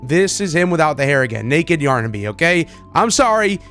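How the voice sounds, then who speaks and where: A man giving casual, excitable reaction commentary as a gaming video host, with slangy, repetitive chat. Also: fairly high pitch, a little fast. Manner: chatty, excitable, slangy, repeats himself. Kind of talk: casual reaction commentary to game images and clips. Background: background music.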